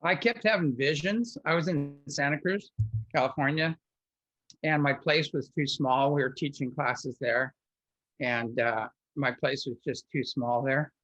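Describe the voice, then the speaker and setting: A man's voice: on the high side, a little slow, fairly bright tone, dry recording. Rambling man on a dull, dry recording. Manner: rambling, with frequent restarts and filler words. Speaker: guest. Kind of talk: personal storytelling in an interview.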